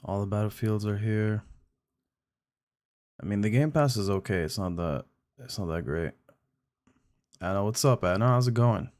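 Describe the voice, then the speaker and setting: Chatty male streamer, lowish voice. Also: moderate pace, pauses often, a little mellow. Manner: friendly, chatty, addresses viewers directly. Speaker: game streamer. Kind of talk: casual live-stream chat.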